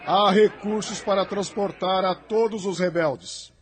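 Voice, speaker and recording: Man acting a solemn high-voiced angel, huge reverb. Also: steady tone, measured pace. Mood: solemn, commanding. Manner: formal, commanding, solemn declamation. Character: Cherub, solemn authoritative male angelic spirit of light. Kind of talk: audio drama acting. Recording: long cavernous reverb.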